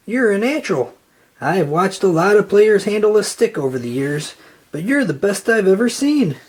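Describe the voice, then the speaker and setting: A storyteller voicing a flattering male sports agent with a chummy, persuasive manner in a children's storybook. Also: medium pitch, normal speed, husky, voice pushed up. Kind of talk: children's storybook read-aloud.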